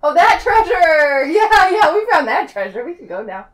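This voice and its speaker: A woman's voice: extremely high pitch, very animated, normal speed, recorded from a distance. A woman player in a tabletop game, chatting casually in a flippant, joking way. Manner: flippant, playful.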